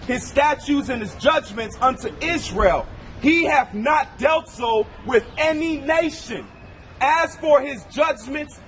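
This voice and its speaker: Loud, high-pitched street preacher. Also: male voice. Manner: loud, confrontational, reads scripture in a slow booming cadence. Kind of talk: street debate. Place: city sidewalk outdoors. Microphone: camera mic at close range. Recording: outdoor street noise.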